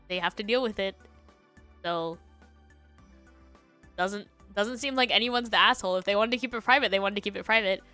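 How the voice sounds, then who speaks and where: A woman hosting a livestream, chatting casually and reacting to online stories in a giggly, animated way. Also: mid-range voice. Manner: giggly, animated, breaking into laughter. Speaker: livestream host.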